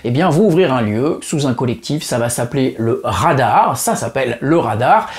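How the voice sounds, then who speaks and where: A man in his thirties hosts his channel from home. He talks straight to the camera, chatty and animated, at an ordinary pitch. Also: normal speed. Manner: chatty, animated, many fillers. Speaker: YouTube host. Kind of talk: narrated video then interview. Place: home room, indoors.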